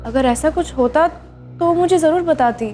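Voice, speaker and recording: A woman's voice: high-pitched, normal speed, dark tone, faint music behind, natural voice. A woman playing an insistent young friend. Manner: insistent, earnest. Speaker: victim's close friend.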